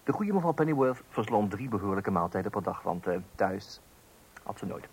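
An actor playing a wry family father who narrates a Dutch radio play in a measured way. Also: lowish voice, very animated, vocal fry, husky, heavily acted, rough recording.

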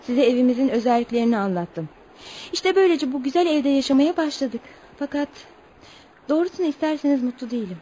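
A woman's voice: high-pitched, animated, quick pace, warm tone, a little hiss or hum. An actress playing an earnest, naive and proud young woman telling her story politely in a radio play. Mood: wistful, earnest. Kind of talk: radio theatre acting.

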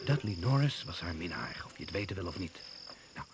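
A man's voice: low voice, expressive, fairly quick. An actor playing a sceptical, worldly British secret service man in a Dutch radio drama, giving a terse, confiding briefing. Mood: sceptical, puzzled. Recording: noisy old radio play recording.

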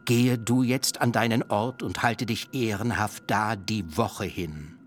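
Ein Schauspieler spielt in einem Hörspiel einen gerissenen, strengen alten Vater, der hart und belehrend verhandelt. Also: male voice, fairly low pitch, slight background noise, close to an everyday voice. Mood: stern bargaining. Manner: measured, lecturing, haggling. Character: shrewd stern old father bargaining hard. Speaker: actor in a radio play. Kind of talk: literary radio drama acting.

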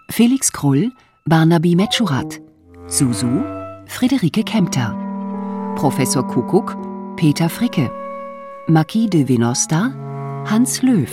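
A woman reading scripted radio credits as a formal announcer. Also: low voice. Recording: prominent music under the voice. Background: instrumental music.

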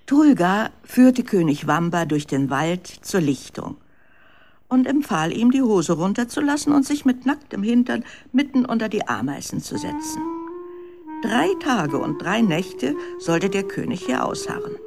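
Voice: mid-range voice, very animated, measured pace, vocal fry, darkish tone, soft background music. A narrator telling a scripted story for children in a radio drama.

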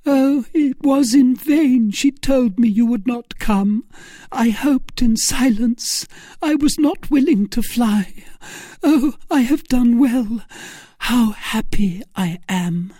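A narrator voicing a fragile, devoted young woman in anguish, breathy and exclaiming, for a classic story. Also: mid-range voice, slow pace, mellow, dry recording, studio quality, voice pushed up. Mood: rapturous relief.

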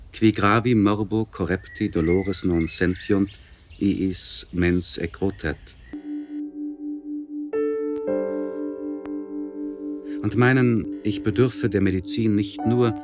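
Actor as deep-voiced, troubled aristocratic poet over music. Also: male voice, close to an everyday voice. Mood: courteous, pensive. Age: young adult. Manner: formal, eloquent, searching. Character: troubled, introspective 26-year-old aristocratic English poet writing a letter. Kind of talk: literary radio monologue, letter read aloud.

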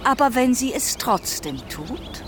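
An aggrieved, suspicious wife in a radio play. Her rather high voice swings widely as she accuses and insists in an Austrian accent, with some noise behind her. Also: sing-song, unhurried. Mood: indignant, accusing. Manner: accusing, indignant, emphatic. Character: suspicious, aggrieved, obstinate wife obsessed with intruders. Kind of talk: radio drama acting.

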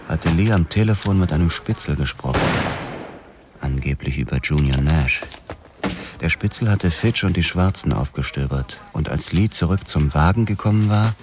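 An actor playing a brooding, world-weary detective who narrates a radio drama in a measured, sombre manner. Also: male voice, very deep voice, a little mellow. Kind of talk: scripted first-person radio drama narration.

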